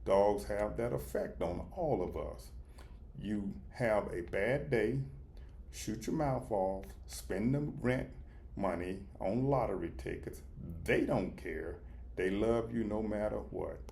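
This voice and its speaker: A man narrating a storybook as a reader, deliberate, in short and sometimes halting phrases. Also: on the low side, vocal fry, darkish tone, a little hiss or hum. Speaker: audiobook reader.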